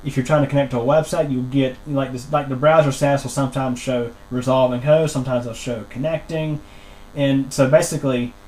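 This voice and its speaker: A man explaining a technical complaint as a customer on a phone call, wordy and halting, often repeating himself. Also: mid-range voice, darkish tone. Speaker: customer calling internet support, channel host.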